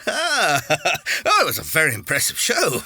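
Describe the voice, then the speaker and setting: A man's voice: a little high, huge pitch swings, moderate pace, pushed and bright, slightly rough, soft background music, heavily acted. An actor playing a gushing, flirtatious man at a resort in an audio drama, leering and a little hesitant.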